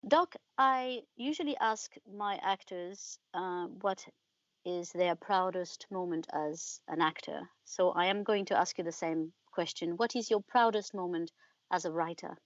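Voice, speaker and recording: A woman hosting a podcast interview in a measured, polite style. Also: fairly low pitch, no echo, muffled recording.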